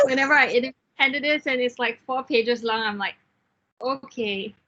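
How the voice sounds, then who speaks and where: A woman in her thirties from Singapore, a software team lead giving frank, encouraging career advice in an online class, with fillers and restarts. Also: sits high, expressive, moderate pace, highs cut off, slight background noise. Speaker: guest speaker, software engineer team lead.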